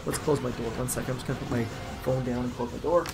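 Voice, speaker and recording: A man with a gravelly, creaky voice at an ordinary middle pitch talks his way through a mobile game. He chats loosely, thinks aloud and makes light of himself. Game music plays clearly behind him. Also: fairly even intonation, fast talker. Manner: chatty, self-deprecating, thinks aloud. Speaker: gaming channel host. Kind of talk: casual gameplay commentary. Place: home room.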